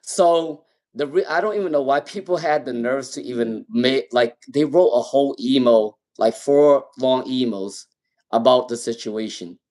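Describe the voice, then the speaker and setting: Tarot reader with a speech impairment, repeating himself. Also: male voice, mid-range voice, moderate pace, a little mellow. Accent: non-native English. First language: not English. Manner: repeats names and questions while pulling cards. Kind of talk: live-stream tarot reading.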